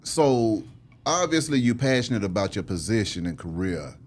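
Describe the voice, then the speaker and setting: A man hosting a casual conversational interview, animated and slangy, chiming in with encouragement. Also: on the low side, normal speed. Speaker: interviewer, podcast host.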